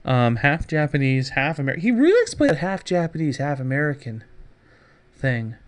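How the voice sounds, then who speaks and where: A man's voice: a little high, big pitch swings, normal speed. A man hosting a geek news podcast, giving casual, jokey commentary with sarcastic asides and theatrical emphasis.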